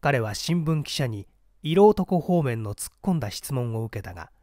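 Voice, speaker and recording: A man's voice: mid-range voice, sing-song, normal speed, dark tone, dry recording, studio quality. A man reading a mystery story aloud as a scripted literary narration. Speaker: audiobook narrator.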